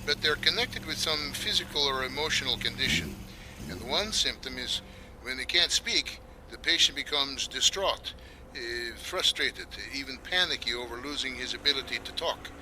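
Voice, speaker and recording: An actor playing a breezy, folksy older doctor in a radio detective drama, teasing and colloquial. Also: male voice, medium pitch, animated, normal speed, sharp tone, a little hiss or hum, rough recording. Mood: explanatory.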